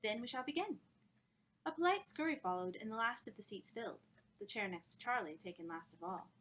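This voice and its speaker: A woman author reading her own novel aloud in an expressive storytelling style. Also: mid-range voice, brisk, a little hiss or hum.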